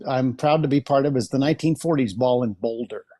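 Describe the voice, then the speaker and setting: A man's voice: mid-range voice, a little fast, mellow. A middle-aged or older man chatting casually as an interview guest. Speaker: guest, celebrity impersonator. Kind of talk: casual chat.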